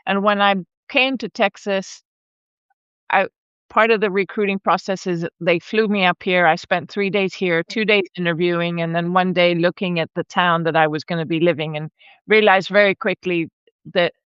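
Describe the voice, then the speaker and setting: Bright, clear-voiced woman rancher. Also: lowish voice, fairly even intonation, normal speed, no room sound, dull audio. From born in Argentina, raised in Brazil. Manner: warm, chatty, laughs often, frequent restarts. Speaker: regenerative rancher and holistic management educator. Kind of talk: casual conversational storytelling. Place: home, live video call. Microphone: video call microphone.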